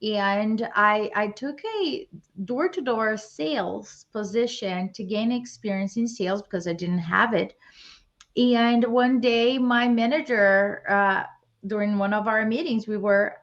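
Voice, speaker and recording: A woman's voice: medium pitch, fairly bright tone, lo-fi sound. A woman from Brazil, earnestly telling her story as an interview guest.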